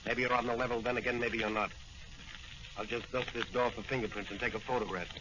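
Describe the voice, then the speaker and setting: An actor playing a tough, commanding masked crime fighter with terse delivery, in 1940s radio drama style. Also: male voice, medium pitch, flat delivery, quick pace, bright, forceful tone, highs cut off, lightly acted. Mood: stern, commanding. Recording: noisy, band-limited old-time radio recording.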